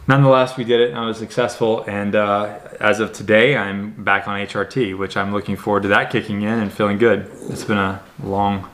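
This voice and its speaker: A young man talking casually with his partner on her vlog, relaxed and joking. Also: on the low side, fairly quick, few pauses. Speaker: vlog guest, partner of host. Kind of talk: casual couple vlog chat.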